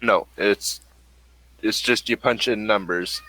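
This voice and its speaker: A man running a tabletop role-playing game as game master, explaining the rules with hesitant pauses and fillers. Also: fairly low pitch, bright, forceful tone, rough recording.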